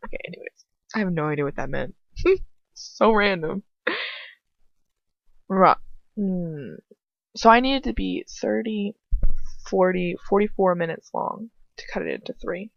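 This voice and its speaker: A woman's voice: mid-range voice, a little slow, creaky, long pauses, dry recording. Goofy woman reader on dull lo-fi audio. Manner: self-mocking, goofy, rambling off script. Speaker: amateur fan fiction reader. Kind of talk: reading aloud with casual chatty asides.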